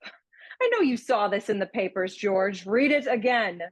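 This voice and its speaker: A woman playing a prim, teasing young heiress in a radio play, pert and quick to take offence. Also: medium pitch, animated, no room sound.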